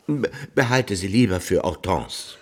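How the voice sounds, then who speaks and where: Husky, vain ageing baron. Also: male voice, low-pitched, animated, unhurried. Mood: bitter, distressed. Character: vain ageing baron, lovestruck womanizer, self-pitying. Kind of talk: German radio drama acting.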